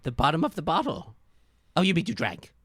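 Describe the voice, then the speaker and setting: A man voicing a pushy, blustering male detective with an Irish accent, talking in a loud, sputtering, accusing way. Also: a little high, very animated, a little fast, husky, no room sound, clean studio sound, pitched above the performer's own voice, exaggerated voice.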